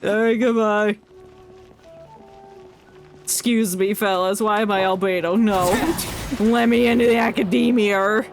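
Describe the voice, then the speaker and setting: A woman's voice: mid-range voice, fairly even intonation, unhurried, long pauses. A woman streamer giving casual, playful live commentary on a video game. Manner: informal, playful reactions. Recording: game music behind.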